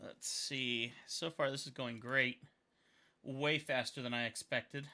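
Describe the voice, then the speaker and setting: Thirtysomething guy from montana rambling cheerfully on a live stream, bright and smooth sounding. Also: mid-range voice, fairly even intonation, slow pace. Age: 30s. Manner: rambling, upbeat, thinks aloud, often restarts. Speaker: caricature artist and videographer. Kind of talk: casual livestream chat while drawing.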